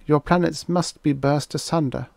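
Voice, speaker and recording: A solemn alien intelligence acted out by a man speaks in a raised, high-pitched voice, as if through a child. It makes grave, formal announcements in measured phrases, in the manner of a science fiction audiobook. The sound is close and dry. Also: steady tone, gravelly, a little mellow, no echo. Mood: urgent, grave. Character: solemn alien mind speaking telepathically through a little girl.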